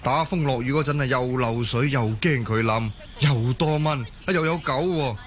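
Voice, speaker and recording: A man's voice: mid-range voice, normal speed, lightly acted. A man acting a patient, reassuring working-class Hong Kong husband in a Cantonese radio drama. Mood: weary, explaining. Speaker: voice actor in an audio drama.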